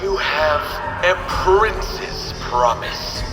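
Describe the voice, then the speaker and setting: An actor playing a mocking, gleeful serial killer villain in an audio drama, taunting like a sing-song storyteller with grandiose delivery. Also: male voice, a little high, lively intonation, very slow, echoey room, music in the background, low-quality recording.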